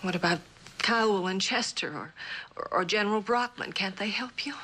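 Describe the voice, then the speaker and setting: An actress playing a worried, devoted wife in a mid-century television drama, hesitant and earnest. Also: female voice, medium pitch, moderate pace, pushed and bright, slightly rough. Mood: sad, tender. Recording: faint noise, old television soundtrack.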